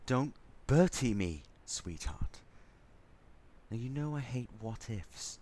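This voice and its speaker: A man's voice: medium pitch, lively intonation, slow, darkish tone, slight background noise. A man playing a sassy, theatrical, frazzled robot crew member in an audio drama, with a sardonic, stubborn manner. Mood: irritated, firm.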